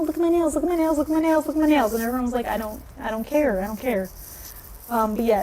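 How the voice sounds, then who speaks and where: A woman's voice: darkish tone, a little hiss or hum, low-quality recording. A young woman chatting casually on a livestream, laughing often and answering viewer comments. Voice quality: low voice. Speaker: nail polish vlogger.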